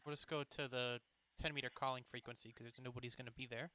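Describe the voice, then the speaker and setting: A man giving a casual, matter-of-fact step-by-step software demonstration on a livestream. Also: medium pitch, fairly even intonation, fast. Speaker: participant demonstrating radio software. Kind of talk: casual step-by-step screen-share demo.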